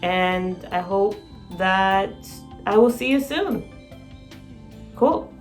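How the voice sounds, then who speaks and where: A sleep-deprived woman with a raspy voice, chatting excitedly in a casual vlog. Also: medium pitch, extremely slow, faint music behind. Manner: excited, rambling, laughs often. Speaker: vlogger.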